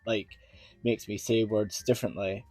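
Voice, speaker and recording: A man's voice: fairly low pitch, flat delivery, normal speed, darkish tone, husky, faint music behind, rough recording. A man with a Scottish accent talking casually to his viewers as a YouTuber, in an earnest, rambling way that circles back over points. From Scotland. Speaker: YouTuber reviewing children's TV shows. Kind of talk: casual talk to viewers about own channel.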